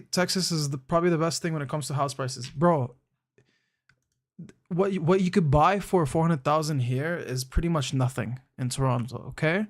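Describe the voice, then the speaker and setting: Laid-back young man chatting on stream. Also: fairly high pitch. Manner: laid-back, slangy, calls chatters bro; thinks aloud with frequent restarts. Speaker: live streamer. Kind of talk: casual live-stream chat answering viewers.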